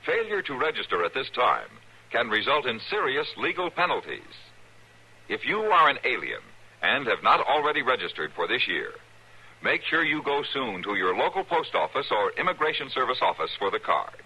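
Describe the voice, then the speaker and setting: A man's voice: medium pitch, big pitch swings, moderate pace, bright, forceful tone, breathy edge, rough recording. A man with an American accent reading a formal, emphatic public-service announcement in 1950s radio style.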